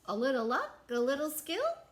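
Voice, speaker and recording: A woman's voice: high-pitched, huge pitch swings, moderate pace, voice pushed up. A woman voicing a confident, know-it-all male field mouse in a children's storybook. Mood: smug, sure.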